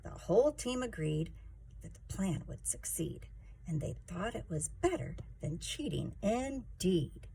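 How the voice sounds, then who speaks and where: A woman's voice: lowish voice, very animated, a little slow, gravelly, mellow, a little hiss or hum, low-quality recording. A woman reading a rhyming children's story aloud in a theatrical, emphatic way. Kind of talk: expressive rhyming storybook narration.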